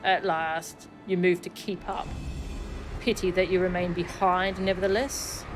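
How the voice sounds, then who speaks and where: A woman voicing a cold, condescending sorcerer father from a fantasy game, in archaic, measured speech. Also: fairly low pitch, little pitch movement, natural voice. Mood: smug, taunting. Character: haughty, scornful male sorcerer father, cold and taunting. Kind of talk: theatrical read-aloud of fantasy game dialogue. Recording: music behind the voice.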